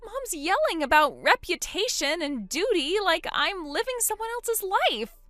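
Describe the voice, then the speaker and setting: A woman voicing a frightened young woman who pleads and panics in a high, strained voice. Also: very animated, unhurried, bright, forceful tone, no room sound, clean studio sound, full character voice. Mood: scared.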